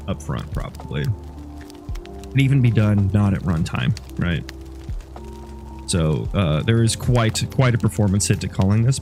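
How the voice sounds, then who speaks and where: A sniffly man, a game developer, talking casually through his code and rambling as he thinks aloud. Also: fairly low pitch. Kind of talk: casual dev log.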